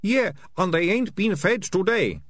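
Man doing a gleeful high-voiced rat catcher. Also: very animated, normal speed, a bit bright, husky, no room sound, heavily acted. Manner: gleeful, eager. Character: cheerful gleeful male rat catcher sidekick.

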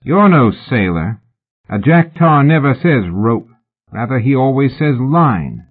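A man's voice: medium pitch, very animated, takes it slowly, husky, narrow, tinny audio, pitched above the performer's own voice, exaggerated voice. A man voicing a dainty, pompous anarchist gang leader in an audiobook, with an elaborate, cold, sneering manner. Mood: condescending. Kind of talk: audiobook character acting.

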